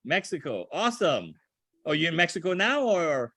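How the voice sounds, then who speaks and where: Older man from Haiti, halting speech. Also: a little high, big pitch swings, fairly bright tone, no room sound, clean studio sound. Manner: halting, restarts phrases often. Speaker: ground school instructor. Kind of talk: casual video call meeting. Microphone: video call.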